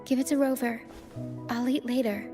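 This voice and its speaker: Woman voicing a kind earnest young first mate girl in a game, high voice, music under it. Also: steady tone, normal speed, natural voice. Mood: caring. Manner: reassuring, earnest. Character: gentle, dutiful young female first mate. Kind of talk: video game voice acting.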